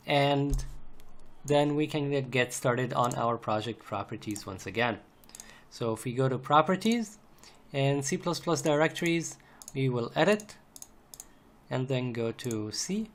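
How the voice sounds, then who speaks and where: A man narrating an unscripted, step-by-step screencast tutorial in a methodical way, repeating phrases as he goes. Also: medium pitch. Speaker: programming tutorial instructor. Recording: noisy home recording, typing and clicks. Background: keyboard typing, mouse clicks, background noise.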